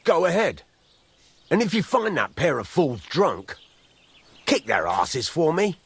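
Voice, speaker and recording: An actor playing a blunt, earthy medieval blacksmith boss in a video game, with a rough voice. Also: male voice, a little high, wildly swooping pitch, measured pace, full character voice. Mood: grumbling. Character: gruff, plain-spoken medieval blacksmith boss.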